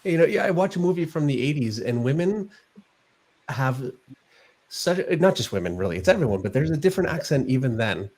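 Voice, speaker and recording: A male host in a relaxed conversation with his voice at a middle pitch. He chimes in with short, agreeable remarks. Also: fairly quick. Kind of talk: casual interview chat.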